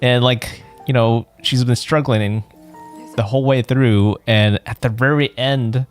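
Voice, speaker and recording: Male streamer, mid-pitched, casual rambling game commentary over music. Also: fairly even intonation, a little slow. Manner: rambling, musing, often ends on a questioning "right?". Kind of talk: casual let's play commentary.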